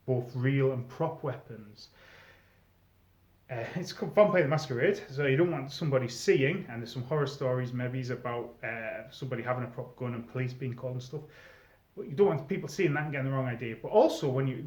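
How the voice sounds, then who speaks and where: A man's voice: medium pitch, darkish tone. A British man giving informal, chatty advice as a video host, explaining things step by step and checking in with the listener. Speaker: video host, experienced live role-player. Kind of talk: informal advice vlog talking to camera. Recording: roomy echo, slightly dull sound.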